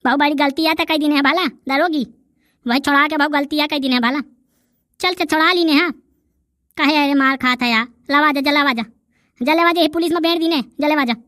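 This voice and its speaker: A woman's voice: sits high, moderate pace, sharp tone, no echo, studio quality. A woman voicing a sassy, wordy, bickering rustic character in a village comedy cartoon, with a Bundelkhandi accent. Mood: taunting. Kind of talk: dialect comedy cartoon dialogue.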